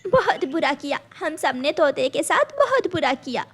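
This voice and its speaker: Woman as a shrill, urgent little cartoon bird. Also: sing-song, normal speed, a bit bright. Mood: remorseful. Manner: pleading, urgent. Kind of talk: children's cartoon dialogue.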